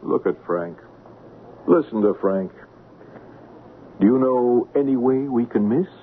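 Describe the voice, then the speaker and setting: An actor playing a sly, rough-edged male swindler in a 1970s radio drama. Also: mid-range voice, lively intonation, measured pace. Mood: confident. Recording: muffled, hissy 1970s radio broadcast.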